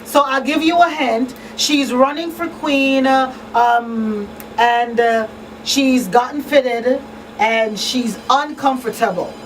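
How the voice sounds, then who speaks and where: A woman with a fairly high voice talks as a community radio host. Her delivery is conversational, earnest and emphatic. She sounds set back from the microphone, with faint noise in the studio. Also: takes it slowly, distant mic, rough recording. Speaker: talk show host and advocate. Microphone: studio microphone on boom arm.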